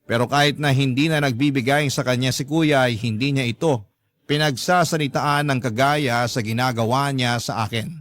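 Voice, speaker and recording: A man's voice: mid-range voice, dry recording, lightly acted. A young man with a Filipino accent voicing a forgiving letter sender, narrating his family story politely and sincerely. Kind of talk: dramatized first-person narration.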